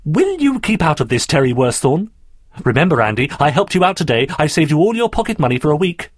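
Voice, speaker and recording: A man's voice: a little high, wildly swooping pitch, normal speed, husky, dry recording, studio quality, pitched above the performer's own voice, full character voice. A man voicing a bossy, scornful schoolgirl who is snappy and demanding in a children's story.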